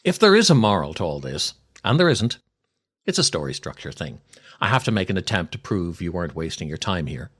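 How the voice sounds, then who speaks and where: An older man hosting a podcast, reading scripted comic stories and verse in a theatrical, deliberate way with dramatic pauses. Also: on the low side. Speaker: podcast host, writer and actor.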